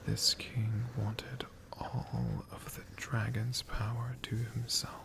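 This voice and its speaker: A whispering ASMR storyteller with a crackly, gravelly edge to the voice. The delivery is extremely slow and hushed. Words are left to linger, with pauses and soft repetitions. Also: mid-range voice, little pitch movement. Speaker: ASMR storyteller, channel host.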